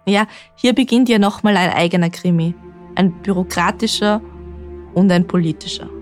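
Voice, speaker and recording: A woman reporter hosting a true-crime podcast, explaining the case in a measured way. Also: on the low side, faint music behind. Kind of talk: scripted true-crime narration.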